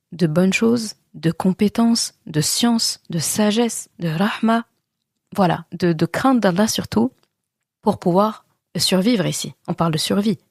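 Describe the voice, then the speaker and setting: A woman's voice: on the low side, darkish tone, husky, dry recording, clean studio sound. A woman hosting a podcast and giving a religious teaching talk, earnest and reassuring as she speaks straight to the listener. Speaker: podcast host.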